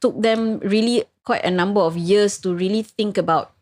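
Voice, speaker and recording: A woman co-hosting a casual podcast interview, friendly and quick with responses. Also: mid-range voice, a little mellow. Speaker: co-host.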